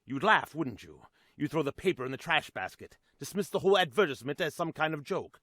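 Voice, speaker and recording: Dramatic 1940s radio orator, wide-ranging delivery. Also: male voice, a little high, lively intonation, brisk, fairly bright tone, dry recording, clean studio sound. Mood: grave, challenging. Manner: rhetorical, emphatic, theatrical. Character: 1940s celebrity radio guest making grave wartime bond appeal. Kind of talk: 1940s radio acting, dramatic patriotic appeal.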